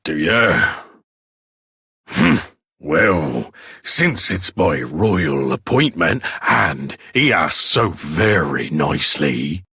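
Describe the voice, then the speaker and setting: An actor voicing a gruff, grudging dwarf in a fantasy video game, rough and curt in manner. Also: male voice, sits low, bright, forceful tone, no echo, studio quality, heavily acted. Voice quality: gravelly, rough. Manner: curt, surly, colloquial. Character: gruff, grudging male dwarf who distrusts humans. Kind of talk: video game character acting.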